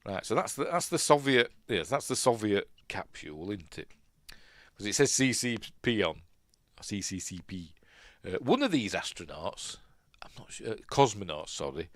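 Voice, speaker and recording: A man with a Yorkshire accent hosting a casual film commentary, rambling and musing aloud, often second-guessing himself and trailing off quietly. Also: medium pitch, no echo, clean studio sound. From Yorkshire, England. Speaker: film review host.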